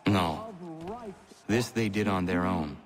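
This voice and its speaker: An actor playing an earnest young freedom fighter in a video game, solemn, plain-spoken and unbending. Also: male voice, sits low, takes it slowly. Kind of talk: dramatic video game acting. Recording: busy crowd behind the voice.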